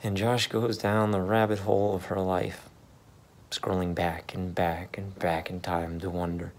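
A man's voice: sits low, flat delivery, a little slow. A man in his thirties playing a brooding, hesitant married father in a dramatic third-person monologue reading. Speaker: actor in a staged reading. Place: in a car, then beside a wall. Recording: car and ambient noise behind.

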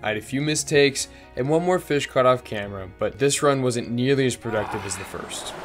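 A man's voice: mid-range voice, brisk, music in the background. A young man vlogging while fly fishing outdoors by a river, chatting casually and narrating over the footage. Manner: excitable, shouts during catches.

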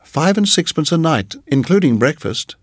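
A man's voice: a little high, big pitch swings, normal speed, slightly rough, no echo, studio quality, pitched above the performer's own voice. A man putting on a cooing, sweet landlady. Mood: cooing sweetness. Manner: cooing, fussy, overly pleasant. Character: sweetly unsettling middle-aged landlady woman.

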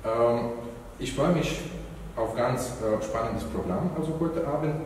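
A middle-aged man, Romani his mother tongue, speaks haltingly and searches for German words. His voice sounds far from the mic, and the hall's reverb hangs over each phrase. A faint room hum sits under it. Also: mid-range voice, darkish tone. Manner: hesitant, many fillers, searching for German words. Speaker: event moderator. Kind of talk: opening remarks at a lecture event. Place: event hall, indoors. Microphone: far room microphone. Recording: echoey hall, distant mic.

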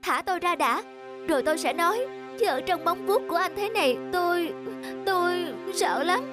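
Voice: very high-pitched, expressive, normal speed. An actor voicing a small, good-natured sparrow in a cartoon, chirpy and earnest. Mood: pleading. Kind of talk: cartoon dub acting. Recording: music under the voice.

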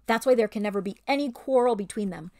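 A woman's voice: a little high, quick pace, warm tone, lightly acted. A woman voicing a scheming, bullying male ape who snarls and lords it over the other animals in a storybook reading. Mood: snarling. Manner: snarling, domineering, sly.

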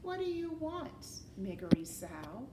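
Woman as a gentle, lively-toned young princess. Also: high-pitched, takes it slowly, roomy sound, far from the mic, heavy background noise, pitched above the performer's own voice, heavily acted. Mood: pleading, calling.

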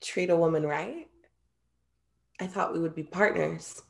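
A woman's voice: on the low side, a little slow, creaky. A young woman acting an earnest, formal immortal muse in a staged reading, speaking precisely. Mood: hesitant, probing. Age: young adult. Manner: formal, precise, avoids contractions. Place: indoor room at home, video call.

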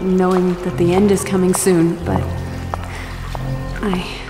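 A woman's voice: lowish voice, flat delivery, slow pace, over music. A woman playing a haunted, anxious patient in an audio drama, speaking hesitantly. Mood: frightened. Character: haunted, anxious young woman recounting nightmares.

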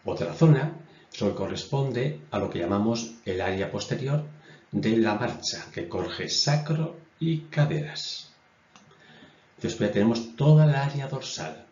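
A man in his sixties, a naturopath and doctor, giving a measured lecture from a webcam at his desk. He repeats key phrases and uses frequent "eh" fillers. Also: mid-range voice, expressive, a little mellow. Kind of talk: explanatory lecture while drawing on a diagram. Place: indoors in front of a stone wall. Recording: roomy echo, dull lo-fi sound.

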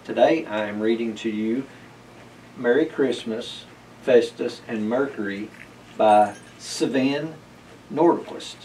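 A man's voice: medium pitch, slow, darkish tone, recorded from a distance, a little hiss or hum, low-quality recording. A middle-aged man narrating a children's storybook aloud in a library room. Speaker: library board chair reading aloud. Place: indoors, library room with bookshelves.

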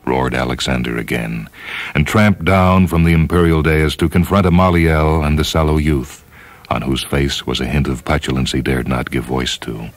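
A man narrating a story in a dramatic, measured, grave manner. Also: extremely low pitch, steady tone, dry recording. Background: music.

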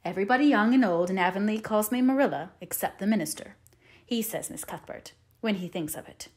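A woman voicing a stern, practical older female guardian in an audiobook, brisk and matter-of-fact. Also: medium pitch, a little mellow, lightly acted. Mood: grim, firm. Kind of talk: audiobook character voice.

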